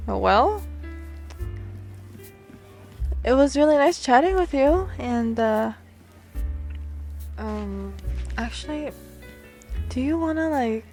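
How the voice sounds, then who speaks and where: A woman's voice: sits high, lively intonation, slow pace, long pauses, darkish tone, exaggerated voice. A woman playing a playful, awkward speed dater in an ASMR roleplay, chatting in a natural, rambling, giggly way with music behind her. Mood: shyly hopeful. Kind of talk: ASMR roleplay, natural conversational acting to the listener.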